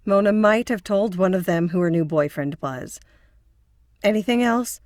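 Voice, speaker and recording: A woman's voice: medium pitch, lively intonation, normal speed, darkish tone, no echo, studio quality, close to an everyday voice. A woman playing an earnest, principled psychotherapist with a prim, concerned manner in an audio drama. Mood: guarded.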